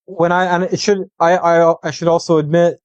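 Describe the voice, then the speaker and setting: A man's voice: fairly high pitch, level tone, normal speed, clear, resonant tone, no echo, muffled recording. A man giving conversational political analysis as a guest, laying out points methodically and checking in as he goes. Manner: methodical, punctuates points with "right?" and "okay?". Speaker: political commentator, podcast guest.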